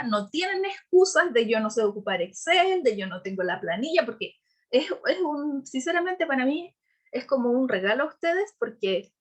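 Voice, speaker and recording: A woman with a Chilean Spanish accent and a slightly high voice speaks to an online audience. She often hesitates and restarts her sentences. She tells her story candidly and laughs now and then. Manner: hesitant with frequent fillers and restarts. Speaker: patient giving a talk. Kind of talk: informal presentation on a video call.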